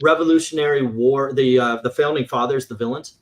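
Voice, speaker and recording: A man's voice: mid-range voice, fairly even intonation, a little fast. A man chipping in casually with remarks and questions as a panelist on a review show. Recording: faint background noise with clicks.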